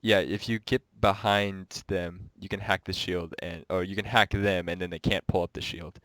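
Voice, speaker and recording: A young man speaks through a stuffy nose, his nasal, congested voice sitting deep and low. He rambles casually as a podcast co-host, often restarting his sentences. The sound is dry, with no room echo. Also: flat delivery, normal speed, a bit bright. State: sick and stuffed up. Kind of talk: casual gaming podcast chat.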